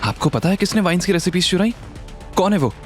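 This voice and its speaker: A man's voice: high-pitched, lively intonation, quick pace, pitched above the performer's own voice. A man voicing an earnest, questioning businesswoman who sounds surprised, in an audio drama. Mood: shocked, confused. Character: puzzled, earnest young businesswoman, easily shocked. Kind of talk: dramatised audio series acting. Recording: music bed under the voice.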